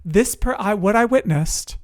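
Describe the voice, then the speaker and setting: A middle-aged coach telling personal stories to camera in a conversational, expressive and deliberate way, with dramatic pauses and repetition. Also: medium pitch, no room sound, clean studio sound. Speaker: emotional resilience coach and show host.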